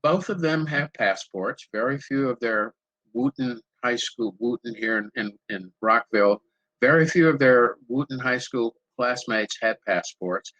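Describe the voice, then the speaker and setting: An older man answers on a video call panel in a bright, forward voice. He tells stories at an unhurried pace, often restarting and repeating words as he gathers his thoughts. The sound is dry and close. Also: medium pitch, no echo, lo-fi sound. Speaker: panel moderator.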